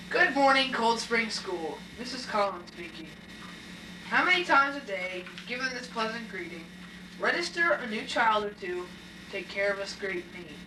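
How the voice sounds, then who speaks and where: A woman playing a kindly, cheerful, gentle school secretary in a scripted puppet show. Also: a little high. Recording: roomy, far from mic, noisy.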